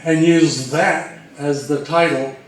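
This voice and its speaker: A man's voice: fairly high pitch, fairly even intonation, slow. A man co-presenting as a researcher, talking casually to an audience. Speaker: co-presenter, old radio researcher. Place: roomy indoor presentation space. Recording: very echoey room, distant mic.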